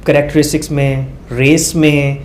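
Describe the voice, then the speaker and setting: Man explaining with a higher voice. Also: level tone, normal speed, slight background noise. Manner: teacherly, walks through numbered points with everyday examples; hesitant fillers, mixes English phrases. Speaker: doctor, research guest. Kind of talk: explaining research step by step.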